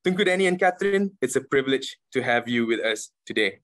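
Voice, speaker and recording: A man's voice: mid-range voice, animated, fairly bright tone, no echo. Male moderator, middle-aged, polished formal delivery on a video call; dry, close audio. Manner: measured, formal questions. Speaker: panel moderator. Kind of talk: moderating an online panel. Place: home room on a video call.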